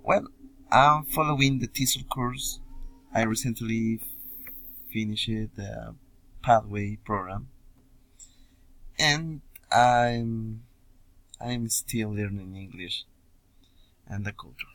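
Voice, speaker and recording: Young male student, Peruvian Spanish accent, slow and hesitant English, mid-range pitch, dry close sound. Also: a bit bright, dry recording, low-quality recording. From Puno, Peru. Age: young adult. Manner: halting, hesitant learner English with pauses. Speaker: student introducing himself. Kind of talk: informal self-introduction video.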